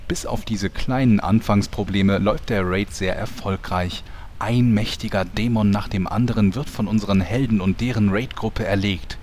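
A man's voice: on the low side, normal speed, a little mellow, a little hiss or hum, close to an everyday voice. A man acting as the solemn storyteller of heroic adventures, reading scripted narration for an audio drama parody.

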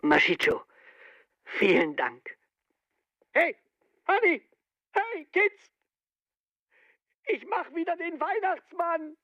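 A man's voice: extremely high pitch, huge pitch swings, bright, forceful tone, lo-fi sound, full character voice. An actor playing a gullible, bashful small-town family man in a radio drama, speaking hesitantly and naively. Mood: grateful, delighted. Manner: hesitant, naive, halting, tags phrases with "eh".

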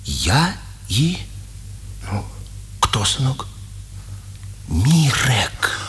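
An impassioned, slow-speaking poetic prisoner. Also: male voice, mid-range voice, huge pitch swings, a bit bright, exaggerated voice. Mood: troubled, brooding. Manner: declamatory, lyrical. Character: impassioned, defiant imprisoned resistance fighter, poetic and brooding. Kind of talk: 1950s Soviet radio drama acting. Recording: old radio recording with background noise.

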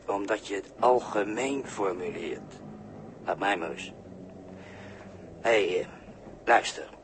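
An actor playing a sly, patient bystander who is mocking and quietly menacing, in deliberate, condescending 1960s radio drama style. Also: male voice, lowish voice. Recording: noisy early 1960s radio recording.

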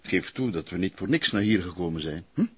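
A smug Flemish police investigator in a TV drama. He is formal and insinuating, with a very husky voice in a dry, slightly dull recording. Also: low-pitched, lively intonation, a little fast, a bit bright, no room sound, heavily acted. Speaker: police officer. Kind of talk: naturalistic TV crime drama acting.

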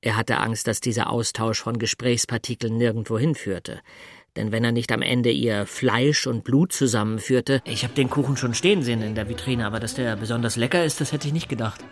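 A man's voice: medium pitch, fairly even intonation, a little fast, natural voice. An actor playing an awkward, tentative single man in an audiobook drama, speaking casually. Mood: uneasy. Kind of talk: audiobook dialogue and inner monologue.

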